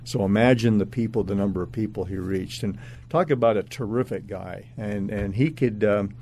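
A man's voice: lowish voice, moderate pace, dark tone, slight background noise. An American man, middle-aged or older, a former disc jockey reminiscing casually in an interview.

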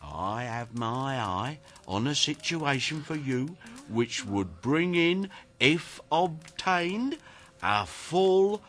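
An actor in a radio drama playing an affectionate, gentle family man with a fond, theatrical manner. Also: medium pitch, very animated. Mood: proud, festive. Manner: fond, theatrical, slow announcements. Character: affectionate, gentle, cheerful poor father and husband.

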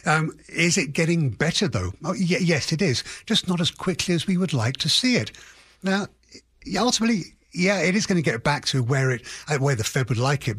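A man speaking as an expert guest in a radio interview, analysing in a halting way with qualifiers and emphatic restatements. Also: mid-range voice, fairly bright tone, breathy edge, no room sound, clean studio sound. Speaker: housing economist guest.

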